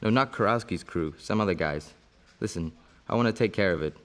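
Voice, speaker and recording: An actor playing a young police officer who questions his brother in a steady, persistent way, in an amateur short film. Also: lowish voice, brisk, natural voice. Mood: earnest.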